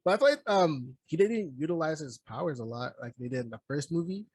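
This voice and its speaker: A man's voice: fairly high pitch, lively intonation, a little fast, darkish tone, breathy edge, no room sound. Slangy American man with a dry-sounding recording. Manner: slangy, profane. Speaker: podcast co-host reviewing films. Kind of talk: casual chat, movie review.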